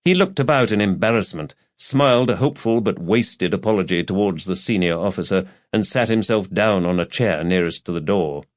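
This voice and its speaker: A man's voice: low-pitched, fairly quick, hardly any pauses, highs cut off. A man narrating a scripted crime novel as an audiobook reader.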